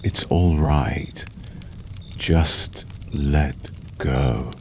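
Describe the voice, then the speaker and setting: Guy doing a possessive vampire boyfriend for asmr, super deep and unhurried, tender but menacing. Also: darkish tone, slight background noise, heavily acted. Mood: possessive, coaxing. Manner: slow, soothing, menacingly tender, lingering pauses. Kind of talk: ASMR roleplay, intimate storytelling.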